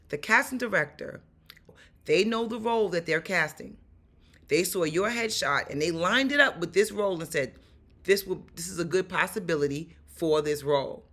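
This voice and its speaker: A raspy-voiced woman with a New York accent talks straight to the camera in a casual advice vlog. Her tone is bright and upbeat, animated and down-to-earth. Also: mid-range voice. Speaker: actor and stunt performer giving career advice.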